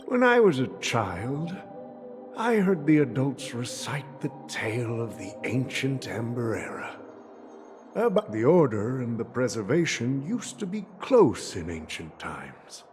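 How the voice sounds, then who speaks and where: A man playing a sly, imperious merchant patriarch in a video game, theatrical and measured with pointed pauses. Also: mid-range voice, big pitch swings, darkish tone, soft background music. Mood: grave, probing. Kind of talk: dramatic video game voice acting.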